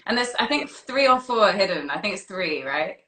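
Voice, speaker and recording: Bright-voiced woman interviewer in an echoey room. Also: medium pitch, animated, moderate pace. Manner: informal, chatty questioning. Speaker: interviewer, host. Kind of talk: casual interview chat. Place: live-streamed video call.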